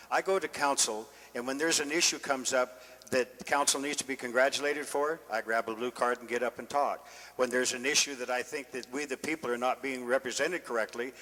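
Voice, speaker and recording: A man's voice: mid-range voice, bright, forceful tone. An older man with an American accent giving an informal campaign speech as a council candidate in a meeting room. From Northern California, United States. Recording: echoey meeting room, long reverb.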